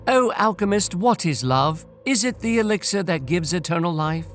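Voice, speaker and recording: A man's voice: sits high, expressive, moderate pace, fairly bright tone, faint music behind, voice pushed up. A man voicing an earnest, questioning young philosopher who seeks truth in a storybook tale.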